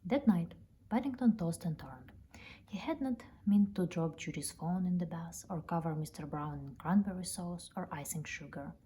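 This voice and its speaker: A woman reading a children's story aloud in an expressive storytelling style. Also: lowish voice, normal speed. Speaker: storybook reader. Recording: noticeable background noise, ambience.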